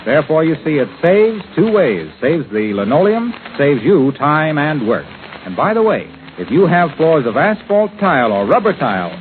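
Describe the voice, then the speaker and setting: Animated 1940s radio pitchman, narrow-band sound. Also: normal speed, slight background noise. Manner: polished, persuasive. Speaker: commercial announcer. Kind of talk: 1940s radio sponsor announcement.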